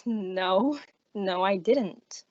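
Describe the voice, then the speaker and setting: A young woman voicing a gruff, skeptical male police inspector in an amateur radio play, blunt and sarcastic. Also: medium pitch, very slow, darkish tone. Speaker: high school drama student. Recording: muffled lo-fi recording.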